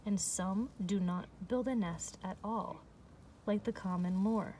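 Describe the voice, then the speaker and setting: A woman teacher reading a picture book aloud to young children, explaining in a measured way with pauses between phrases. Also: medium pitch, dark tone. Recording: distant mic with faint background noise.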